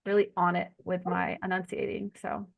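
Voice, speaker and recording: A woman's voice: mid-range voice, level tone, normal speed, vocal fry, muffled recording. A woman with a blended Arkansas Southern and New Jersey accent, chatting casually and telling stories in folksy language as host of a group video call. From Arkansas, United States. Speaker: host of an online group chat.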